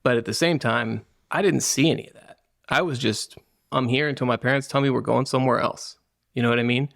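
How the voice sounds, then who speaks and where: A male podcast host with a gravelly, creaky voice at a middle pitch. He asks curious follow-up questions and speaks in an easy conversational way. His voice sounds dry and close to the microphone. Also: steady tone, fairly quick, fairly bright tone, no echo. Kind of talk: conversational interview and outro.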